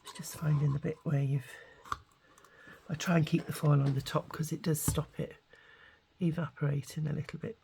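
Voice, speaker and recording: A woman, hoarse with a cold and gruff-voiced, hosting a casual crafting video and talking hesitantly with many ums while she works. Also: deep voice, flat delivery, normal speed, warm tone, a little hiss or hum. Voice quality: gruff, gravelly. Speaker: craft channel host.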